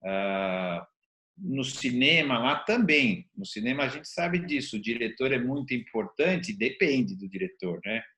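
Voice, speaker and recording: A man's voice: mid-range voice, animated, a little slow, bright, forceful tone. Brazilian man explaining, in an echoey room. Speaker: interview show host. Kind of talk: conversational discussion. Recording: roomy, echoing sound.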